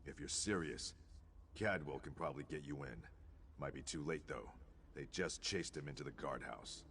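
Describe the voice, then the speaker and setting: A man voicing a gruff, battle-hardened fantasy warrior in a video game, boastful and sardonic. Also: very deep voice, normal speed. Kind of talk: video game character dialogue. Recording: clearly noisy game ambience.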